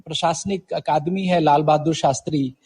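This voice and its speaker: Husky higher-voiced man in reverb. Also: fairly even intonation, moderate pace, darkish tone. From Uttarakhand, India. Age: 40s. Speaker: guest poet. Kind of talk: conversational interview storytelling.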